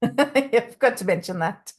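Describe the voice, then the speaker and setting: A middle-aged woman from South Africa, a coach and author, talking in a casual interview with many pauses and restarts. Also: a little high, expressive. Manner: halting, many ums and restarts, laughs often.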